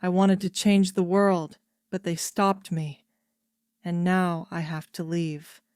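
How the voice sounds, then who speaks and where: A woman voicing a grave, resigned king who speaks quietly and sadly, in a smooth, clear voice. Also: medium pitch, slow pace, no room sound, studio quality, close to an everyday voice. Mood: sorrowful. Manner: quiet, soft-spoken and resigned. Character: grave, sorrowful man, an abdicating king.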